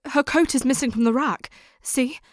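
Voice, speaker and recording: A woman voices a worried young woman in a radio play. Her high voice is close and dry, with an anxious, urgent but polite manner. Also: normal speed, no room sound, natural voice. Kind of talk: audio drama acting.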